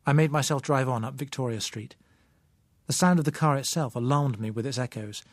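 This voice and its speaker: Male narrator, British accent, mid pitch, dramatic literary fiction read, measured pacing of phrases, very dry acoustic. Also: dry recording. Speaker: audiobook narrator. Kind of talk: dramatic scripted novel narration.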